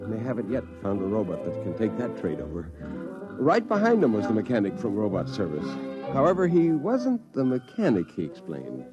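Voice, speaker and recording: Grouchy put-upon 50s radio husband, lively pitch, muffled old recording. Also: male voice, a little fast, over music. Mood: wry, narrating. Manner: grumbling, defensive. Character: irritable, put-upon husband, narrating his own woes. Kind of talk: 1950s radio drama acting and narration.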